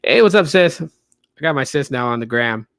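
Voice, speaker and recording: A man's voice: medium pitch, lively intonation, moderate pace, fairly bright tone, no room sound, studio quality. A man in his late thirties with a light voice, casually chatting as a podcast host, rambling with many fillers. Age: 30s. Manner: loose, rambling, frequent fillers. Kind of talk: casual livestream podcast chat.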